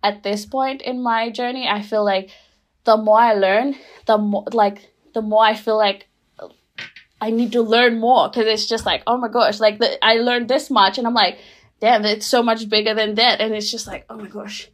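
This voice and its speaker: A young woman from Rotuma, a guest speaking in casual, reflective conversation, full of "like" and "you know" and quick to laugh. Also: on the high side, fairly even intonation. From Rotuma, Fiji. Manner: chatty, many fillers, laughs easily.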